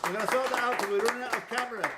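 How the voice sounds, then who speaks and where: A man hosting a live quiz, reading questions, calling on players by name and bantering excitedly with them. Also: very high voice, rapid-fire, over a noisy crowd. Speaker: quizmaster. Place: pub room with players around. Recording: noisy live pub room recording.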